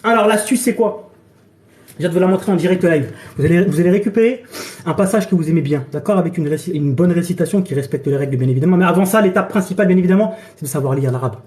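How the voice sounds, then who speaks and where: Hoarse man chatting on a live stream. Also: high voice, quick pace, darkish tone, a little hiss or hum. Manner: chatty, repeats himself, many "d'accord" and "frère". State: hoarse from a head cold. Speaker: fitness and nutrition coach. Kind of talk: casual TikTok live chat. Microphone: phone during a live stream.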